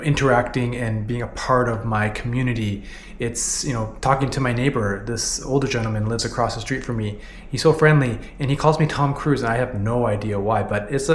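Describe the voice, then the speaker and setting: A man's voice: mid-range voice, roomy sound, a little hiss or hum. Earnest Canadian man in his thirties. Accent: Canadian English. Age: 30s. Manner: earnest, candid, frequent "you know" fillers. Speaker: vlogger. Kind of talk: reflective personal vlog narration.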